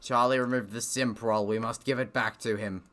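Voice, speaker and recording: A man's voice: mid-range voice, steady tone, fairly quick, a bit bright, a little hiss or hum. A man reading a game aloud in a casual let's-play style, breaking off for self-deprecating, sweary asides.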